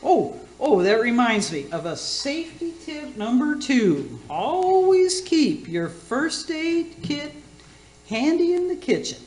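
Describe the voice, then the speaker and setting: A woman's voice: sits high, very animated, slow, a little mellow, heavily acted. Woman acting a theatrical batty older aunt, roomy sound. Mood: cheerful, scatterbrained. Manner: folksy, theatrical, catty asides. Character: batty, boastful older aunt hosting radio cooking show. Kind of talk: comic community-theater radio play.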